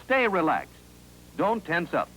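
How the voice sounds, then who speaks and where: An American man reading scripted narration for a 1950s instructional film in a clear, authoritative, instructive manner, on an old film soundtrack. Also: a little high, huge pitch swings, a little slow, a bit bright, slight background noise, rough recording.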